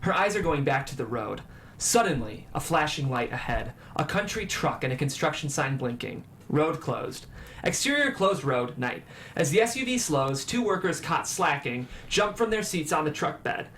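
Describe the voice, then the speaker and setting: A man with a fairly high voice hosts and narrates a screenplay reading. There is light room noise behind him as he presents the script. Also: animated, normal speed, a bit bright. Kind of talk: show presenting and scripted stage-direction narration.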